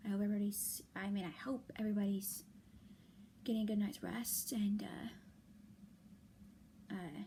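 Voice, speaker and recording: A tired young woman venting late at night to her viewers, soft and halting, often half-whispered, with a slightly hoarse voice and many fillers. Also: mid-range voice, steady tone, a little hiss or hum. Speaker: vlogger.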